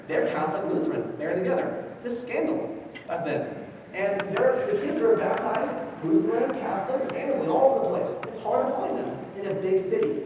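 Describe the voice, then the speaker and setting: High-voiced man lecturing in an echoing hall. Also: darkish tone. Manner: conversational, short choppy phrases, personal anecdotes. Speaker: genealogy conference presenter. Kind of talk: informal instructional lecture on genealogy. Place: large echoey indoor room, live talk. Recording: echoey hall, distant mic, faint noise.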